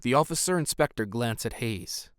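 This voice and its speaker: A man's voice: medium pitch, animated, moderate pace, smooth, no echo, clean studio sound. A man reading scripted narration as the narrator of an audio drama.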